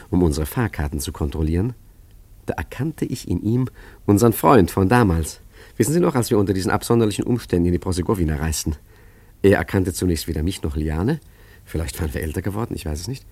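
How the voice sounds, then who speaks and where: An actor playing a suave, cunning gentleman adventurer in a German radio play, urbane, wry and smoothly condescending as he recounts his exploits. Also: deep voice, no room sound, exaggerated voice.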